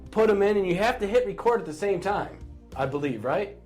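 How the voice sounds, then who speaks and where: Higher-pitched American man chatting casually. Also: expressive, brisk, darkish tone, faint music behind. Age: middle-aged. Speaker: podcast co-host. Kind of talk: casual chat.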